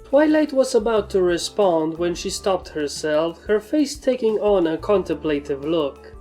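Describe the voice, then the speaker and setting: A woman's voice: fairly low pitch, expressive, a little slow, warm tone. A woman giving a scripted narration of a story. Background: background music.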